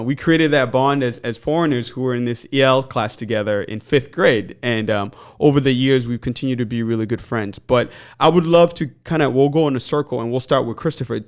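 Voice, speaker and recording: Friendly podcast host guy in his 30s, from the congo, average pitch, keen and chatty. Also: brisk, few pauses. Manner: friendly, enthusiastic interviewer. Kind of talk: casual interview chat.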